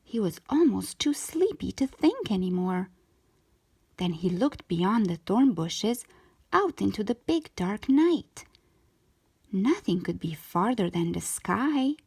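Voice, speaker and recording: Slow, breathy woman reading a storybook. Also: medium pitch, very animated, a little mellow. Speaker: children's storyteller, host. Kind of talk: scripted storybook narration for young children.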